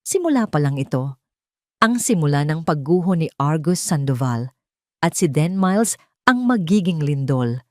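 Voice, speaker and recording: Female narrator doing a cold poised filipina out for revenge, calm n controlled, audio drama, dry sound. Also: sits low, no echo. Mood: calm resolve. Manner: measured, controlled. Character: poised vengeful woman, calm, cold, quietly menacing.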